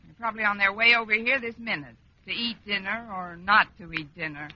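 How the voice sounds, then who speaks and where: An actress playing a wry, quick-witted schoolteacher with an American accent in a vintage radio sitcom, dry and wisecracking. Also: female voice, medium pitch, crowd noise, close to an everyday voice. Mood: anxious. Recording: muffled old radio recording.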